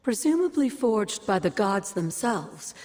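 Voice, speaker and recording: Wry sorceress mentor in a reverberant hall. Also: female voice, medium pitch, big pitch swings, unhurried, a little mellow. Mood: solemn, knowing. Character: wry older sorceress mentor, fond and knowing. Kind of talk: game cutscene dialogue, expository storytelling.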